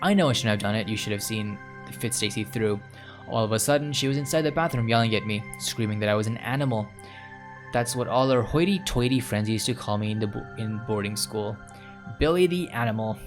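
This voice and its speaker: A man's voice: fairly low pitch, a little fast, music in the background, natural voice. Man reading as chatty scatterbrained young woman. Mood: indignant, rambling. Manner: rambling, gushing.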